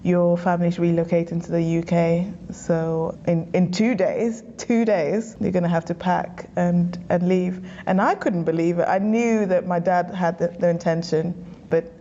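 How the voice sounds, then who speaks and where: Woman telling her story, warm dark tone. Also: fairly low pitch, fairly even intonation, unhurried, muffled recording. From Ghana. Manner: chatty, laughs easily, frequent small restarts. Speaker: interview guest. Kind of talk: relaxed personal storytelling.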